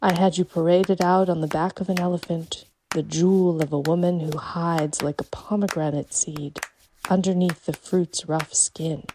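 A woman's voice: fairly low pitch, steady tone, moderate pace, background noise, lightly acted. A woman voicing a devoted, romantic circus wolf boy in a short story, speaking in florid, effusive phrases. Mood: adoring. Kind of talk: audiobook character dialogue.

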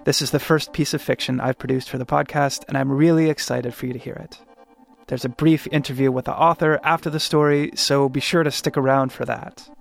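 Guy doing a podcast intro, kinda creaky voice, music underneath. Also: medium pitch, fairly even intonation, a little fast. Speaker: podcast host.

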